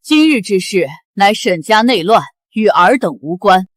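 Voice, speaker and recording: A woman's voice: mid-range voice, lively intonation, moderate pace, dry recording, close to an everyday voice. An actress playing a regal mother goddess who issues formal, imperious decrees. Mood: commanding.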